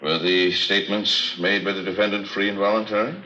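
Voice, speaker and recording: Formal prosecutor, bright forceful delivery, very low pitch, methodical questioning, old radio courtroom drama. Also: male voice, flat delivery, brisk. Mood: formal, probing. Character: brisk, formal male prosecutor questioning a witness. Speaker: actor playing a courtroom attorney. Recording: dull, faintly noisy old radio recording.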